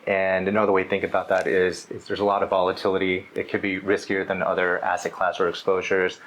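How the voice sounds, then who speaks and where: A man's voice: deep voice, completely flat delivery, quick pace, fairly bright tone, rough recording. A man hosting an interview as an investment specialist, polite and supportive, with many listening murmurs.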